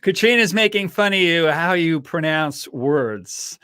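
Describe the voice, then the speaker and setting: A man's voice: on the high side, expressive, measured pace, sharp tone, smooth. A man hosting a live-stream podcast, asking a guest questions and joking with the chat in a playful way. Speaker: host of a fan podcast. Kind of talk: casual live-stream interview.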